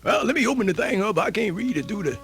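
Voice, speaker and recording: A man playing a folksy, cheerful old country storyteller, stammering and muttering in a gravelly voice for radio theatre. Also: high voice, expressive, fairly bright tone, faint music behind, heavily acted. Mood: curious, muttering. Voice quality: creaky, gravelly. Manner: stammering, muttering, drawn-out rustic talk. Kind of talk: radio theatre character acting.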